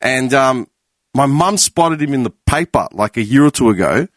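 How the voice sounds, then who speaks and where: A man's voice: mid-range voice, lively intonation, moderate pace, dry recording. A man with an Australian accent telling a story on a casual podcast, swearing and acting out what others said. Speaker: podcast panelist. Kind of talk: casual chat.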